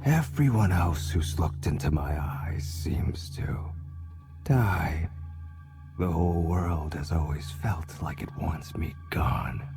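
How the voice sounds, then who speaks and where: Low, whispering vengeful outcast. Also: male voice, slow, over music. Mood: brooding, bitter. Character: bitter, vengeful doomed man with a haunted past. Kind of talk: dramatic video game monologue.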